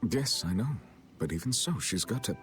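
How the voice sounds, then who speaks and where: A man voicing a stoic, stern warrior mentor in a video game, speaking in a measured, formal way. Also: fairly low pitch, lively intonation, faint music behind, lightly acted. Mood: calm, instructive.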